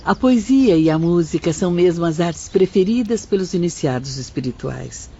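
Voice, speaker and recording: An actress playing a kindly, serene female spirit guide who teaches gently and formally in an audio drama. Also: fairly low pitch, animated, moderate pace, breathy edge. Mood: serene, instructive. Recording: dull audio with faint music.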